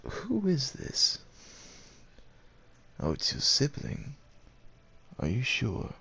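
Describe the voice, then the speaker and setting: A man playing a devoted, gentle werewolf suitor in an ASMR roleplay, speaking with hesitant tenderness and courtly phrasing in a gravelly, husky voice. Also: mid-range voice, wildly swooping pitch, very slow, heavily acted. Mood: curious, sheepish. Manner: hesitant, tender, old-fashioned courtly phrasing with tag questions. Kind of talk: ASMR roleplay, acted monologue addressed to the listener.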